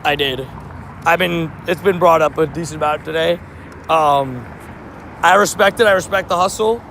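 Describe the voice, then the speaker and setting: A man live-streaming outdoors, chatting casually with viewers and answering their chat messages, with a slightly hoarse voice. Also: fairly high pitch, moderate pace, a bit bright, slight background noise. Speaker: livestream host. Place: outdoors by a street with traffic.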